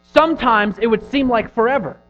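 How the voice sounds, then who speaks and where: A man in his thirties preaching a sermon in an earnest, conversational way, pushing hard on some phrases and dropping to a hush on others. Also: very high voice, brisk. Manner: earnest, conversational preaching with rising and falling emphasis. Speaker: pastor. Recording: dull lo-fi recording with faint music.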